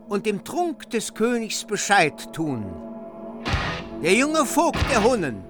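An actor playing a grim, defiant warrior in a radio drama, speaking in a measured, menacing way. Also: male voice, sits high, wildly swooping pitch, music in the background, exaggerated voice. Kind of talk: radio play acting.

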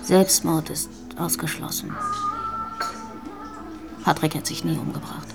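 A woman's voice: deep voice, fairly even intonation, measured pace, vocal fry. An actress playing an insistent woman witness in a radio drama, speaking emphatically. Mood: insistent, grave. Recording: loud music behind the voice.

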